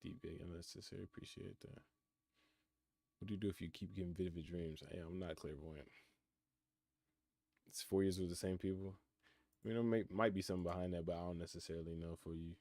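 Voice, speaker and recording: Deep-voiced laid-back young man. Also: fairly even intonation, a little fast, creaky, pauses often, dark tone, dry recording, clean studio sound. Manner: laid-back, reflective, reads chat questions aloud and answers. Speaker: streamer answering chat. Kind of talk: casual live-stream chat. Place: his room in college housing. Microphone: computer mic.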